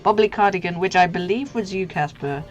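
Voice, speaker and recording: Low-voiced young woman, giggly and informal. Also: brisk. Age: young adult. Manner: giggly, goofy, informal with slang and swearing. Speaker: livestream host, artist. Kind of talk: casual livestream chat. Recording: muffled lo-fi stream audio with music. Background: background music.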